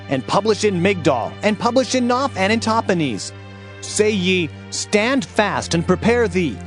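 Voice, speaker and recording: A man giving a dramatic, solemn reading of scripture in archaic English, as narrator of an audio Bible. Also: high voice. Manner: solemn, declamatory. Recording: music bed behind the voice.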